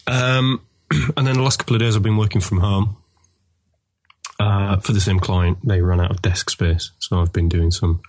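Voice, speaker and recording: A man co-hosting a podcast with a croaky voice and a sniffle, chatting casually and teasing with dry humor. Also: low voice, steady tone, moderate pace, a little mellow, dry recording. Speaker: podcast co-host. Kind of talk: casual chat.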